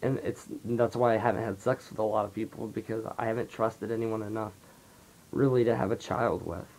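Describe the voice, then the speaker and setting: Raspy teen guy rambling softly into his vlog, low voice, lots of "you know"s. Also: steady tone, a little fast, slight background noise, low-quality recording. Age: late teens. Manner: soft-spoken, rambling, heavy on fillers. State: raspy, dry throat. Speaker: vlogger. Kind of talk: confessional casual monologue.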